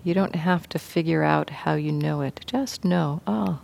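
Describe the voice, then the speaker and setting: A woman teaching meditation, guiding a sitting practice in a gentle, unhurried way with long pauses. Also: low-pitched, vocal fry. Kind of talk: guided meditation instruction.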